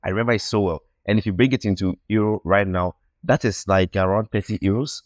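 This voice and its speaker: Deep-voiced young man from Ghana. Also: fast, no room sound, studio quality. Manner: earnest, reflective, repeats words while searching for phrasing. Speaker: guest. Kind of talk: casual interview storytelling.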